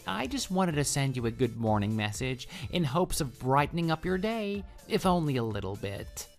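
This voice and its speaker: A man acts out a clingy male office coworker in a radio drama, smitten and syrupy. He speaks at a middling pitch in flowery, gushing phrases and stammers when flustered, with music playing underneath. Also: very animated, normal speed. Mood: eager, hopeful. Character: clingy, lovestruck male office coworker who can't take hints. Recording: voice over background music.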